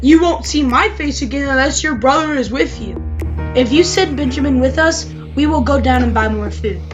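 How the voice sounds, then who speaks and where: A woman's voice: high-pitched, normal speed, voice pushed up, lightly acted. A woman voicing earnest, pleading grown brothers in a Bible story, with insistent, emphatic delivery. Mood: defensive. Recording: music behind the voice.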